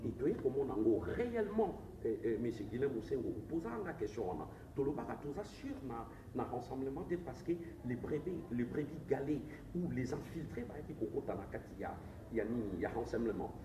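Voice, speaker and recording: A man's voice: mid-range voice, big pitch swings, a little fast. A man with a Congolese accent argues insistently in a political interview, switching between languages as he makes his case. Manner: insistent, argumentative, code-switching. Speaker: interviewed politician, presidential candidate. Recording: distant, echoey, noisy room recording.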